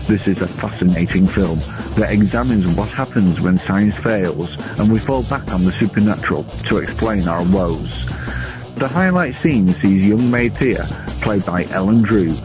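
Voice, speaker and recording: Low-voiced man narrating over music. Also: animated, moderate pace. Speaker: film reviewer, guest contributor. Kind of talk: scripted film countdown narration. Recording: dull lo-fi recording under music.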